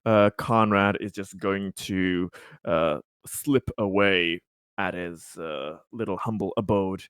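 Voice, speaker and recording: A man chatting casually as a tabletop game player, explaining things in a halting way and often repeating words. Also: low voice, fairly bright tone, clear, resonant tone, dry recording, studio quality. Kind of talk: casual post-game chat.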